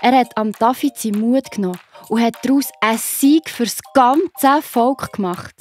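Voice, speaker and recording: A woman's voice: on the high side, normal speed, darkish tone, soft background music. A woman with a Swiss German accent narrating a scripted children's story expressively.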